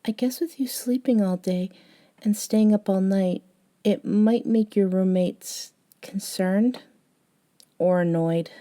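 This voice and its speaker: A woman playing a gentle, eccentric young woman, a caring friend, in an intimate ASMR audio roleplay, soft-spoken with hesitant pauses. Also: medium pitch, natural voice. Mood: tentative, caring.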